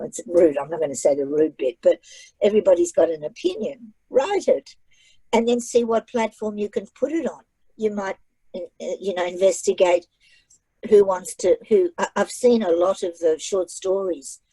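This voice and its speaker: A woman's voice: medium pitch, dark tone, husky, dry recording, low-quality recording. A woman author who learned English as a second language, speaking in a chatty, emphatic way and often repeating phrases for effect, over a video call. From migrated to Australia at age nine. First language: not English. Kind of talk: casual online library talk.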